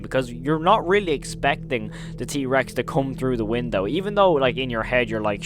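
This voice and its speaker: A man with a medium-pitched voice delivers casual commentary as a video host. He retells scary scenes in an emphatic storytelling manner, piling on intensifiers. A noticeable hum sits in the recording.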